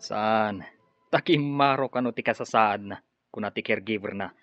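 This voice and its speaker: A man's voice: on the low side, normal speed, no echo, faint music behind, lightly acted. An actor playing a greedy, scheming man with an emphatic, menacing manner in an Ilocano radio drama. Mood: frustrated. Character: scheming businessman relative, forceful and greedy. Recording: dull lo-fi radio drama sound.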